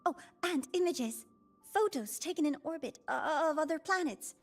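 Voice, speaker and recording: A woman playing an excitable young female researcher in a video game, shy and nerdy, given to eager rambling and flustered apologies. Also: high-pitched, moderate pace, faint music behind. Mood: excited. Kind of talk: anime-style video game acting.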